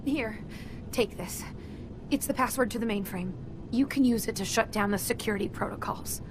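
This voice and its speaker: A woman acting an earnest, socially awkward robot inventor in a video game, halting and defensive. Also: medium pitch, natural voice. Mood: remorseful, pleading. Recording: clear background noise and ambience.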